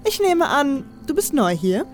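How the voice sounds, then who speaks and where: A woman's voice: high-pitched, very animated, a little slow, a little mellow. Woman as female assassin, mocking, playful, self-assured, fantasy audio drama, music underneath. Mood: teasing, amused. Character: mocking, confident female assassin, worldly and teasing. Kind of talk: German fan audio drama acting.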